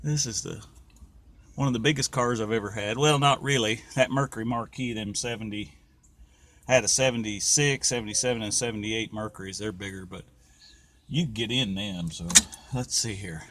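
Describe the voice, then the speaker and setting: A man's voice: fairly low pitch, normal speed, fairly bright tone. An American man with a folksy, rambling, colloquial way of talking, giving casual hands-on commentary as he does DIY car repairs.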